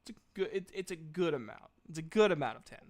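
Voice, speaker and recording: A man's voice: a little high, animated, fast talker. A man speaking casually as game master of a tabletop game, with a playful, self-deprecating way of bantering.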